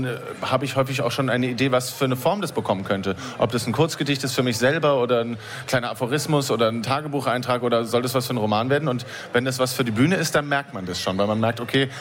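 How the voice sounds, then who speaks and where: A man's voice: mid-range voice, fairly bright tone. A man, a poet and author, answering thoughtfully as a radio guest, sometimes stumbling over his words. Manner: quick, thoughtful, some stammering. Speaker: poetry slammer and author.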